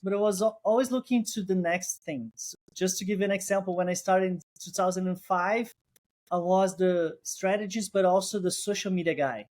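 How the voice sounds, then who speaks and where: A man's voice: high-pitched, steady tone, normal speed, a little mellow. Brazilian-accented man, thoughtful guest. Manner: thoughtful, restarts phrases, non-native phrasing. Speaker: guest, co-founder. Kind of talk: casual interview.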